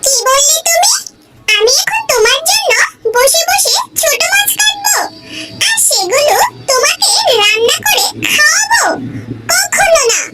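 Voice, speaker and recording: A performer voicing a quarrelsome, sarcastic bird wife in an animated cartoon, scolding and aggrieved. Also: very high-pitched, a little slow, heavy background noise, exaggerated voice. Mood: angry, scolding. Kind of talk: Bengali animated cartoon dialogue.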